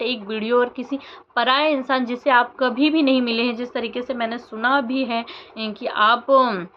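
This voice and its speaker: A young woman vlogger giving earnest, emotional commentary to camera, firing off rhetorical questions with many fillers. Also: on the high side. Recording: dull lo-fi sound with faint background noise.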